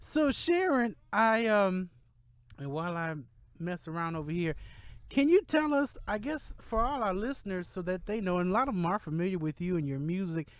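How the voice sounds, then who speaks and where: A man's voice: sits high, lively intonation, moderate pace, clean tone, dry recording. An American man hosting a conversational radio interview, enthusiastic and encouraging with his guest. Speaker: radio show host, interviewer. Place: radio studio. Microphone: studio microphone.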